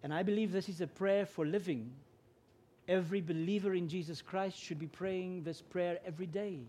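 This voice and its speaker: A man's voice: sits high, fairly even intonation, normal speed. Afrikaans-man preaching. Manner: earnest, grows louder and more emphatic when preaching. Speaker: guest preacher. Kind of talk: sermon.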